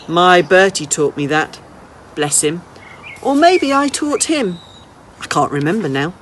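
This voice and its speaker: A storybook old lady, small, brisk and blunt with a kindly streak, acted out by a woman reading aloud. Her pitch leaps up in lively swoops on her chatty questions. There is faint noise behind her. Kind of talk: children's storybook character reading.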